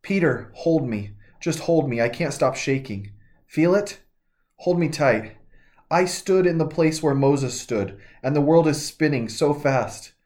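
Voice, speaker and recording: A man's voice: a little high, lively intonation, measured pace, darkish tone. A man voicing a shaken, grieving woman disciple in an intimate first-person devotional monologue, urgent and trembling. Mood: distraught. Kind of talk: devotional reading in character.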